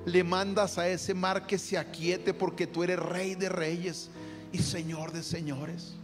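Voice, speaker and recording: A man's voice: high voice, little pitch movement, slow. A man leading a fervent spoken prayer, calling out to the Lord after nearly every phrase. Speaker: prayer leader. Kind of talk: spoken prayer over music. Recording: long hall reverb with guitar music. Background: soft guitar music.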